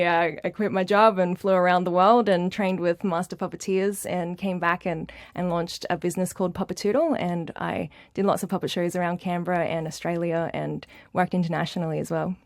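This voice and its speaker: A woman's voice: lowish voice, fairly even intonation, moderate pace, no room sound, studio quality. A middle-aged woman whose first language is Dutch, a guest on a radio interview, bubbly and laughing often, with fillers and restarts. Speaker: art academy director, guest.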